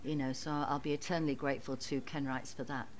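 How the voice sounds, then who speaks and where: A woman's voice: a bass voice, flat delivery, fast, a little hiss or hum. An older woman with a Mancunian accent, answering interview questions about her stage career candidly and laughing easily. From Manchester, England. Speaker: guest, musical theatre actress.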